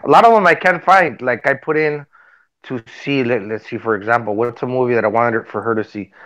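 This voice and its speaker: A man's voice: medium pitch, fairly quick, fairly bright tone, lo-fi sound. Man in his forties from Mexico, rambling. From Tijuana, Mexico. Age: 40s. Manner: rambling, repeats himself. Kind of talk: casual chat, personal storytelling.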